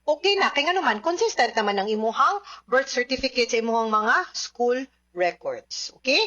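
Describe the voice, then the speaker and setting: A woman's voice: fairly high pitch, animated, normal speed, bright, forceful tone, dull audio. A woman with a Cebuano Filipino accent explaining answers to listener questions as an advice host, slipping into English and adding little checking tags. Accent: Filipino, Cebuano Bisaya.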